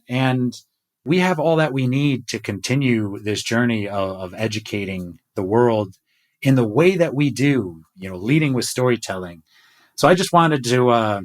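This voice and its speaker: A middle-aged man hosting a podcast conversation over a video call, enthusiastic as he shares numbers with his team. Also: mid-range voice. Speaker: podcast host. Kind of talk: casual chat.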